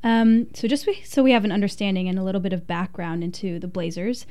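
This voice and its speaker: A woman hosting a community radio show, reading a measured introduction and asking questions. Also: medium pitch, fairly even intonation, warm tone, clean tone, no room sound. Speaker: radio host.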